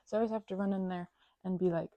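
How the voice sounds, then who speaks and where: A woman's voice: mid-range voice, steady tone, very fast, dry recording, muffled recording. A woman performing a casual best-friend ASMR roleplay, chatting in a playful, scatterbrained way and rambling as she goes.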